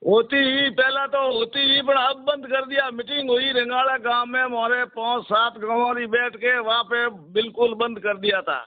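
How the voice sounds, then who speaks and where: A man's voice: very high voice, little pitch movement, moderate pace, hardly any pauses. A village man with a rural Marwari accent, speaking informally and deferentially, a little defensive, on a phone call. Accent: rural Rajasthani, Marwari. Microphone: phone line. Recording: tinny narrowband phone-call recording.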